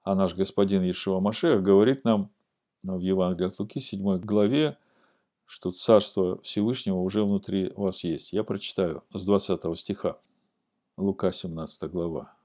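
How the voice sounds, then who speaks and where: A man giving a sermon-like scripture lesson, explaining and reading aloud in a deliberate, didactic way that stresses key words. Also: deep voice, dark tone.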